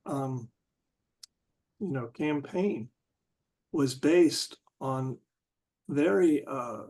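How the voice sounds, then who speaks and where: A man in a casual group discussion, talking haltingly and searching for words with many restarts. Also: mid-range voice, animated, a little mellow.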